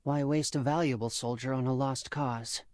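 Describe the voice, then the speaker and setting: An actor playing a supportive, inquisitive younger Spartan brother, boyish and loyal, in a dramatic video game. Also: male voice, medium pitch, fairly even intonation, moderate pace, a little mellow, no echo, studio quality, close to an everyday voice. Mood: consoling.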